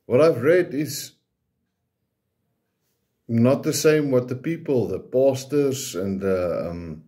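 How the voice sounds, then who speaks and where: A man's voice: mid-range voice, slow, mellow. A man from South Africa whose first language is Afrikaans gives an informal, hesitant preaching talk in English with an Afrikaans accent, often ending phrases with a questioning tag.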